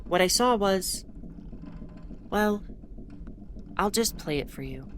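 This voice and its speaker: A woman narrating as a starship captain in an audio drama, with measured, introspective storytelling. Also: mid-range voice, lively intonation. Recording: noisy audio drama recording.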